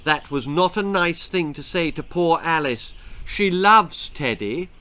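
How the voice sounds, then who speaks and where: A man voicing the sensible, bossy eldest child of a British storybook gang, brisk and plummy. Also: fairly high pitch, lively intonation, sharp tone. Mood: sensible, firm.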